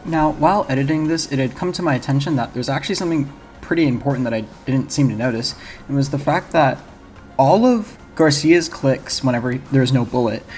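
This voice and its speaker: Male video essayist: informal, loose game commentary, medium-pitched voice, faint music underneath. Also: a little mellow. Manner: informal, rambling, self-correcting.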